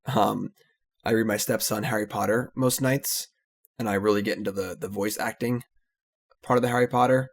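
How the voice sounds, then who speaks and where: Low gravelly man casually commentating a card game. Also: normal speed. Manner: relaxed, analytical, trailing off with "so". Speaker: card game streamer commentating his play.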